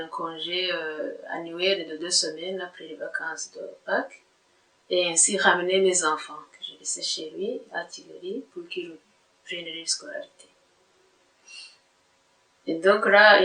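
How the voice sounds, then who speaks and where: A woman from Rwanda in her sixties, giving a slow personal testimony full of pauses and hesitations. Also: fairly low pitch, fairly bright tone, echoey room, poor audio. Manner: measured, hesitant, many pauses and fillers.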